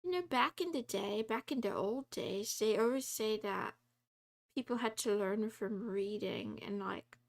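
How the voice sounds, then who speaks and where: A woman's voice: medium pitch, unhurried, creaky. A woman live-streaming while she codes, chatting casually and rambling with lots of fillers, talking to her viewers and laughing often.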